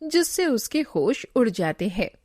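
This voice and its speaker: A woman reads a scripted story as narrator. Her voice sits a little high and she takes her time over the words. The sound is very dry, without room echo. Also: big pitch swings, a little slow, a little mellow.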